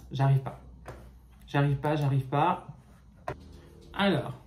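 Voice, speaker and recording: A woman YouTuber reading fan fiction aloud and pausing often to comment, in a chatty manner. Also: lowish voice, darkish tone. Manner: chatty, reading slowly, with frequent "ok" and hesitations. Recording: roomy echo.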